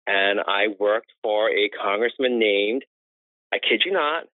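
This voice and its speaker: A man's voice: fairly low pitch, measured pace, dry recording. A man being interviewed about his life and work as an interior designer, telling stories at length and laughing often. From United States. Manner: chatty storyteller who laughs often. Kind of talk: conversational interview. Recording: tinny phone-like line, dry.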